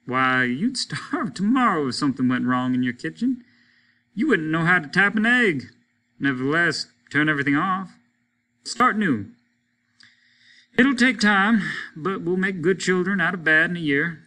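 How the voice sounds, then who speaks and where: A man's voice: on the high side, expressive, moderate pace, pushed and bright, voice pushed up. A man voicing a blunt, middle-aged male doctor who lectures and scolds in a preachy, emphatic way. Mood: lecturing.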